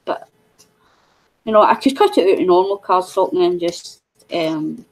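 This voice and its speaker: A woman's voice: on the low side, animated, normal speed, low-quality recording. A woman from New Zealand in casual, rambling craft chat, full of fillers. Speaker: craft channel co-host.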